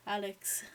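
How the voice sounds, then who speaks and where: A woman with an Irish accent hosting a live watch-along stream. She chats casually, reads viewer messages aloud and leans on frequent "um" and "yeah" fillers. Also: mid-range voice. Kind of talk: live watch-along chat and match reactions.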